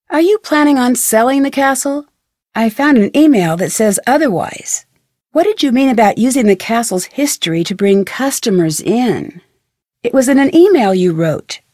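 An actress playing a curious, polite young female detective in an adventure game, asking questions in a clear, inquisitive way. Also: a little high, a little mellow, dry recording, studio quality. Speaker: video game protagonist. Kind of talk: scripted adventure game dialogue. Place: studio.

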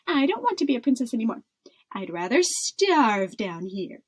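A woman's voice: fairly high pitch, sing-song, moderate pace, breathy edge. A woman voicing a stubborn, rebellious young princess in a storybook, whining emphatically. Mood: defiant. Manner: whiny, emphatic, defiant. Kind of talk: storybook character voice.

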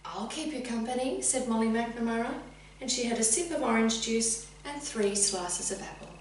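A middle-aged woman reading a children's story expressively and deliberately, addressing young listeners directly. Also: on the high side. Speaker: teacher reading a picture book. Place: indoors, sitting room with bookcase. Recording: very echoey room, distant mic, faint hum.

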